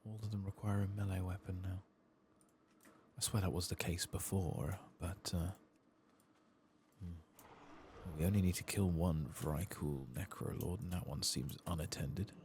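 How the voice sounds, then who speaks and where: Very low male voice, hushed and soft-spoken, unhurried ASMR game commentary, faint background noise. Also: fairly even intonation. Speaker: host of a gaming playthrough channel.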